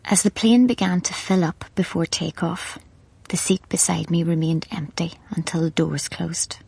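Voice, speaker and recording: An actress in a radio play voicing a loving, bereft woman with a hushed, breathy, tender delivery. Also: fairly low pitch, moderate pace, heavily acted, low-quality recording. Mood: quiet grief. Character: grieving young woman, loving, quietly bereft. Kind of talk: radio play acting, intimate dialogue and narration.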